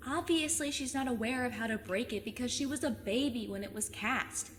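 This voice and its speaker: A woman in an audio drama playing a plucky, stubborn fifteen-year-old girl who speaks emphatically and earnestly, quick to get defensive. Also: on the high side, natural voice. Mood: defensive, flustered. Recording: long cave reverb, faint noise.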